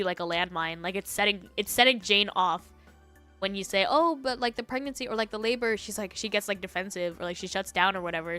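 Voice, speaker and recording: A woman hosting a livestream, chatting casually and reacting to online stories in a giggly, animated way. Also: medium pitch, smooth. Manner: giggly, animated, breaking into laughter. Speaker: livestream host.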